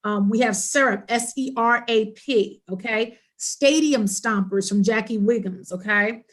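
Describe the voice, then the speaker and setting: Female panel moderator, middle-aged, slightly high voice, speaking on a video call from home. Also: unhurried. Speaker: panel host. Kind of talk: moderating an online discussion. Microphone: computer mic on a video call.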